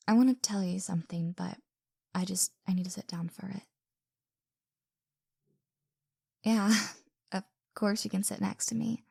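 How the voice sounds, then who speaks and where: A woman playing an anxious, self-doubting young woman in an intimate audio roleplay, speaking softly and breathily with hesitant stammers, apologies and sighs, often slipping into a whisper. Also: medium pitch, creaky, slightly rough, dry recording, studio quality. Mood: shaky, tender.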